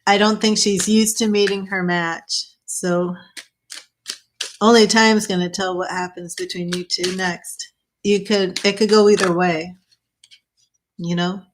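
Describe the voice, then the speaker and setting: A woman giving a live tarot reading in a casual, chatty way, musing aloud over the cards. Her voice is wearing thin and slightly hoarse, and she pauses to clear her throat. She speaks at an ordinary middle pitch for a woman. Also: fairly even intonation, a little hiss or hum. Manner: chatty, thinking aloud, with small laughs. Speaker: tarot reader.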